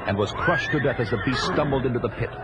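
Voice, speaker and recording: A man's voice: mid-range voice, expressive, quick pace, heavy background noise, lightly acted. An actor playing a vengeful, battle-hardened barbarian who tells his own tale in solemn, declamatory audio drama style. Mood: grave, urgent. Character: vengeful, battle-hardened male barbarian warrior, grim and proud. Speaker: narrator and lead actor. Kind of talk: dramatic first-person audio drama narration. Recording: loud music behind the voice.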